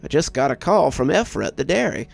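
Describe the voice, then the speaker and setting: A man voicing a fair-minded trucking depot boss, speaking plainly and earnestly at a measured rate in an audio story. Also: fairly high pitch, very animated, creaky, faint music behind, exaggerated voice. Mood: sincere, approving.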